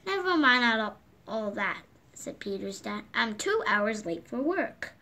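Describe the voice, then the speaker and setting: A woman with a high, clear, bright voice reads a children's storybook aloud. She takes her time, pausing between phrases. She lifts her voice for each character's quoted line. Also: sing-song. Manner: slow, gentle read-aloud pacing with lifted voices for quoted lines. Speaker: storybook reader. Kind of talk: reading a picture book aloud. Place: indoors at a table. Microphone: phone or camera filming the book from above.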